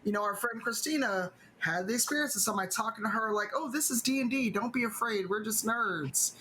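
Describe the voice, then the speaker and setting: A woman's voice: on the high side, brisk, sharp tone, slight background noise, rough recording. A middle-aged woman speaking conversationally on a video call panel, making wry points with asides. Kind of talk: panel discussion.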